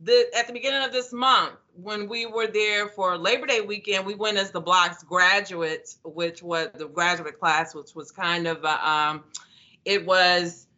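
Woman podcast co-host, bright and forceful tone, dull lo-fi sound, chatty. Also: fairly low pitch, fairly even intonation, unhurried. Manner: chatty, rambling with many restarts. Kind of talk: casual podcast chat.

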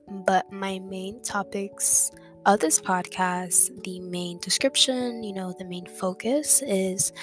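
A young woman hosting her own podcast, talking in a soft, reflective way and repeating words for emphasis. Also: mid-range voice. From Dominican family background. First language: English. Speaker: podcast host. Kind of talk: casual solo podcast monologue. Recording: music playing underneath.

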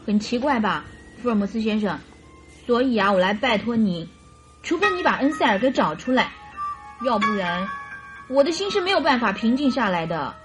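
An actress playing a chatty, naive and carefree young bride in a radio drama, with girlish, animated complaining and coaxing. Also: fairly high pitch, moderate pace, over music. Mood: pleading.

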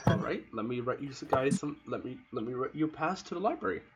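A man's voice: mid-range voice, expressive, fast talker, highs cut off, crowd noise. A man running a tabletop game as game master, explaining rules and narrating at length. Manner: explanatory, patient, talks through interruptions.

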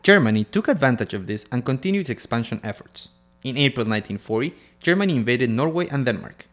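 Lively-voiced man reading a history podcast. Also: mid-range voice, quick pace. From Ecuador. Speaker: podcast co-host. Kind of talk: scripted history podcast narration.

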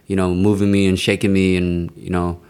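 A young man chatting casually on a podcast and telling animated, profane stories in a booming voice. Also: a little fast, smooth. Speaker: podcast guest.

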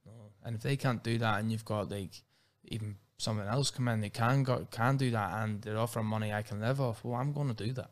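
Low-voiced Northern Irish man, rambling. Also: fairly even intonation, a little fast. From Northern Ireland. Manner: rambling with restarts and "like". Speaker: MMA fighter and guest. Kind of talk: casual interview chat.

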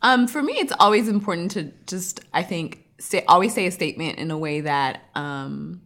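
Woman w a low voice chatting casually as a guest, open and candid. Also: normal speed, fairly bright tone. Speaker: podcast guest. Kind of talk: casual group conversation.

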